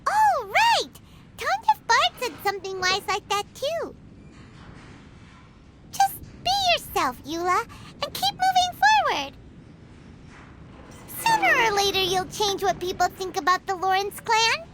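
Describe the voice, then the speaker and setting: A woman voicing a small, cheerful, chatty fairy companion girl in a video game, with a squeaky childlike voice and an earnest, expressive delivery. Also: a little slow, long pauses, very noisy, exaggerated voice. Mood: encouraging. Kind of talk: video game cutscene voice acting.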